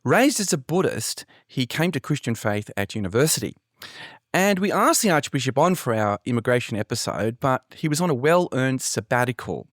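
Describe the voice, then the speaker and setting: Man, mid-pitched voice, scripted intro, steady, dry close sound. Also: moderate pace, no room sound. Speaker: podcast host.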